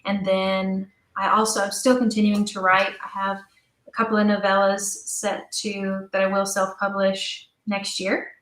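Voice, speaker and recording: A woman's voice: mid-range voice, monotone, unhurried, fairly bright tone. Woman in an echoey room, chatting casually. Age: young adult. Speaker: interview guest, audio drama writer and producer. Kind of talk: casual interview talk. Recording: roomy, echoey sound.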